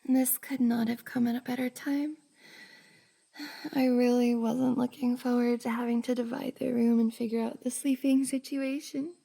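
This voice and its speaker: A woman playing a shy, flustered young cosplayer in an intimate roleplay ASMR drama, hesitant and breathy, often sighing and dropping to a whisper. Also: on the high side, level tone, normal speed, warm tone. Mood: affectionate, playful.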